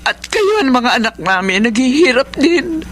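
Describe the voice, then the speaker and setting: A woman's voice: high-pitched, very animated, normal speed, a bit bright. An actress playing a poor, sorrowful mother in a Filipino radio drama, speaking in a plaintive, heartfelt way. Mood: lamenting. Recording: noisy radio drama with ambience.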